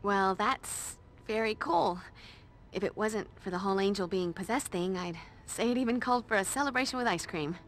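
An actress playing a wisecracking, brave teenage vampire slayer in a video game, with a flip, breezy delivery. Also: female voice, medium pitch, moderate pace, fairly bright tone, a little hiss or hum, lightly acted. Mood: dry, wry.

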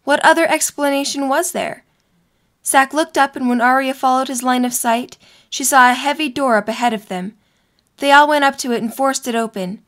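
A woman's voice: high voice, moderate pace, a bit bright. A young woman reading scripted story narration for an audio drama.

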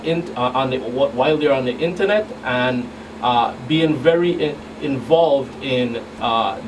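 A middle-aged man answering radio interview questions as a guest agent, halting and repeating words as he explains. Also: mid-range voice. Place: small radio studio. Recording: distant mic, clear room hum.

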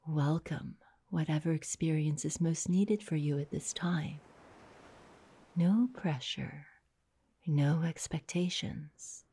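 A woman guides a meditation in a soft, breathy half-whisper, soothing and unhurried, leaving long pauses between short phrases. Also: low voice, steady tone.

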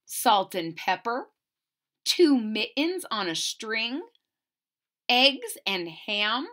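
A middle-aged woman reading a picture book aloud to children, expressive and deliberate, pausing to question her listeners. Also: on the high side. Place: small indoor room at home.

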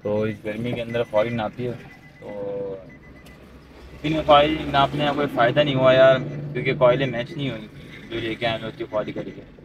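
A young Pakistani man chats into a handheld phone as a travel vlogger. His talk is slangy and full of complaint, at an average pitch. Clear vehicle and outdoor noise sits around the voice. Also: normal speed. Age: young adult. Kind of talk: casual vlog chat. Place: outdoors at roadside workshops and in a parked car. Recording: noisy roadside with vehicle and wind noise.

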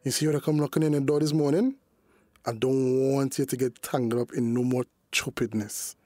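A man playing a smooth-talking, evasive young sweetheart on the run in a radio drama. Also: mid-range voice, level tone, a little fast, dark tone, dry recording, clean studio sound, close to an everyday voice. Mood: reluctant, weary.

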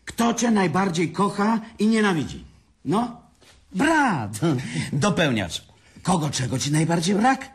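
An actor playing a bitter, morbid, hard-drinking brother in an audio drama, halting, repeating words and trailing off. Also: male voice, sits high, very animated, full character voice. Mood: boisterous. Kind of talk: naturalistic audio drama acting.

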